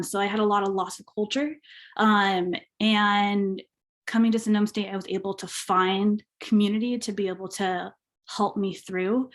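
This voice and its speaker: A young adult with a feminine-sounding voice and Spanish as a first language, speaking reflectively and a little hesitantly on a discussion panel. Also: medium pitch. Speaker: panelist, university graduate.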